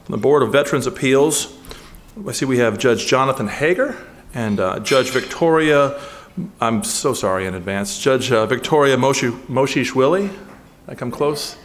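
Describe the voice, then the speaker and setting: A man's voice: medium pitch, moderate pace, slight background noise. A man in his thirties hosting an event at a lectern, chatty and joking, stumbling over names as he welcomes guests. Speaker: event host. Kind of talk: casual opening remarks. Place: conference hall, at a lectern. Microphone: lectern microphone.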